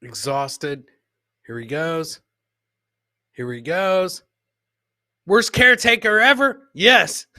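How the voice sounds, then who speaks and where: A man's voice: high voice, slow, long pauses, bright, forceful tone, no echo, clean studio sound. A man hosting a casual live comedy show, commenting on clips, repeating his phrases and talking to his producer and viewers. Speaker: podcast host.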